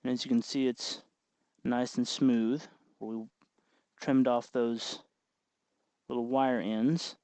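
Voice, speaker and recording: A man hosting a hobby tutorial, explaining each step in an informal, folksy, unhurried way. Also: mid-range voice, little pitch movement, dark tone, no room sound. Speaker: host of a hobby electronics tutorial. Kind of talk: informal hands-on tutorial narration.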